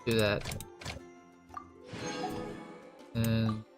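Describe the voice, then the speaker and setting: A man's voice: fairly low pitch, completely flat delivery, slow pace. Casual male game streamer over music. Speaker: gaming streamer. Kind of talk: casual live-stream chat while playing a game. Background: music and keyboard typing.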